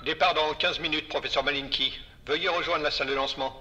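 A man's voice: on the high side, steady tone, fast, sharp tone. A man playing a formal launch announcer through a radio intercom filter. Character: brisk male launch announcer.

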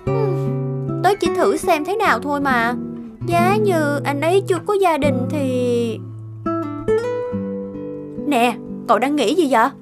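An actress voicing a young female office worker in an animated cartoon, with a coy, expressive way of talking. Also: sits high, takes it slowly, pauses often, loud music behind the voice. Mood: chatty, teasing.

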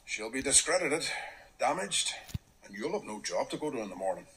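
An actor in a radio drama playing an earnest male local councillor with a deliberate, formal way of speaking. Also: mid-range voice, big pitch swings. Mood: concerned. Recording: faint noise, played back through a speaker.

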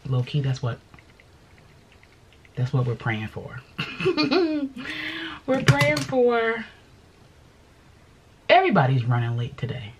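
A middle-aged woman talks straight into a close camera in a home studio, chatty and fussing. Her pitch swings up and down freely. A steady background noise sits under her voice. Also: takes it slowly, pauses often, dull audio. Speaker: vlogger and DJ. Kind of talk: casual vlog chat. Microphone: camera at close range.

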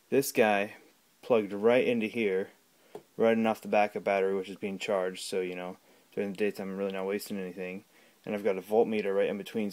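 A man giving a casual, unscripted gadget demonstration at home, talking in a halting, rambling way. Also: on the low side, darkish tone. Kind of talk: casual unscripted product demo. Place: small room at home. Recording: clear background noise with clicks.

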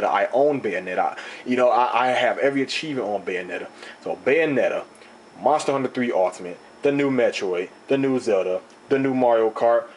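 Rambling man with a slightly raspy voice. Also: lowish voice, a little hiss or hum, poor audio. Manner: rambling, animated storytelling. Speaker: video host. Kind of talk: casual unboxing talk. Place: indoor room, table top.

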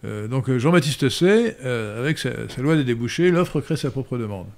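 An older man answering listener questions in a professorial, hesitant manner with frequent pauses and restarts, recorded on a stand mic in a study. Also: medium pitch, lively intonation, vocal fry. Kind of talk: lecturing Q&A answers. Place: study room indoors. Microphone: studio mic on stand.

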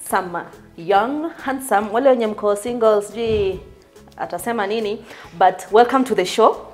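A young Kenyan woman hosting a cooking show in a bright indoor studio kitchen, bubbly and teasing, mixing Swahili into her English, recorded on a lapel mic. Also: medium pitch, moderate pace, soft background music. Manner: bubbly and teasing, laughs often.

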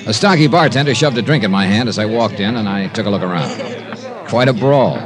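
Man acting as hard-boiled radio detective, dry wry narration, lively intonation, music behind, old radio recording. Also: fairly low pitch, brisk, lightly acted. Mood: dry, tense. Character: wry, hard-boiled male insurance investigator narrating his case. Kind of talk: 1950s radio crime drama acting.

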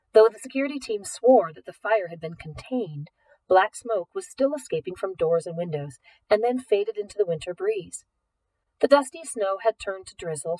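A woman reads a novel aloud with expressive, scripted delivery. Her voice sits at a middle pitch. She sounds slightly off the microphone, in a room with little echo. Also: distant mic. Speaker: audiobook reader. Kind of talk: expressive scripted storybook narration.

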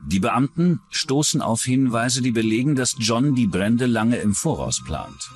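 A man's voice: mid-range voice, animated, measured pace, soft background music. A man reading scripted true-crime documentary narration as the narrator.

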